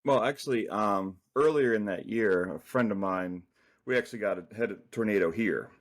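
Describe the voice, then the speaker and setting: A man's voice: on the low side, moderate pace. A man talking as a guest author in a casual interview, rambling loosely with many fillers.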